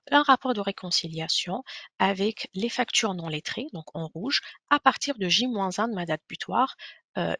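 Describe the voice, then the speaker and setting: Bright, creaky-voiced woman presenting software. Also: medium pitch, no echo. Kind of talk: software demo walkthrough.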